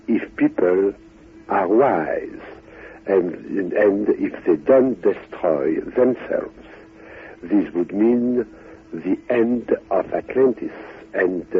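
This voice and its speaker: Very husky, slow Frenchman on old radio. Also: low-pitched. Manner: halting, deliberate, in short phrases. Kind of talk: unscripted expository talk. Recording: tinny, band-limited radio recording.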